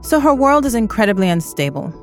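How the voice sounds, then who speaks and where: A woman from East Africa narrating a scripted documentary as host, in a measured, serious manner. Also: a little high, sing-song. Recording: music bed behind narration. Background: music.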